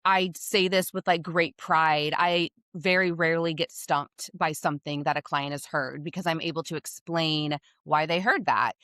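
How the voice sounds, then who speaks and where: A woman's voice: low-pitched, steady tone, moderate pace, hardly any pauses, a bit bright, dry recording, studio quality. A woman with a slightly scratchy voice, a hormone health provider who explains things openly and with confidence in a podcast interview.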